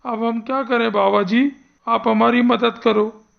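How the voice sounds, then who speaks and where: A woman playing an earnest, anxious male village headman in an animated horror story, pleading as she speaks. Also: a little high, measured pace, creaky, lo-fi sound. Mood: fearful, pleading. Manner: earnest, imploring.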